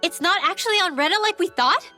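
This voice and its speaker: A woman playing a spirited, expressive young girl mage in a video game. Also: extremely high pitch, fast talker, faint music behind, heavily acted. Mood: worried, startled. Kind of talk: scripted video game voice acting.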